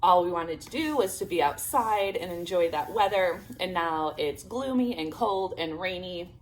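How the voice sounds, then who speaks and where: A woman's voice: on the low side, expressive, normal speed, slight background noise, low-quality recording. Chatty woman with a sore throat. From Illinois, United States. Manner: chatty, filler-heavy, upbeat. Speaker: homeschool vlogger. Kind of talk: casual chat to camera.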